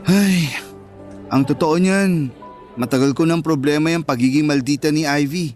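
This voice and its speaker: A man's voice: fairly high pitch, normal speed, darkish tone, faint music behind, natural voice. A man playing a protective, fair-minded schoolboy in a Filipino radio drama, with an earnest, reassuring manner. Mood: gentle, reassuring.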